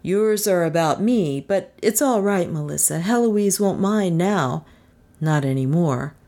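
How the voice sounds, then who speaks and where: A woman voicing a calm, dry man in an audiobook story, restrained and subdued. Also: lowish voice, measured pace. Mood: strained calm.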